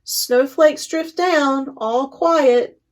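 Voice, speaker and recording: A woman hosts a children's storytime in a high-pitched voice. She reads at a slow, deliberate pace, leaning playfully on key words for dramatic effect. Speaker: children's librarian, storytime host. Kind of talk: expressive picture-book read-aloud for children.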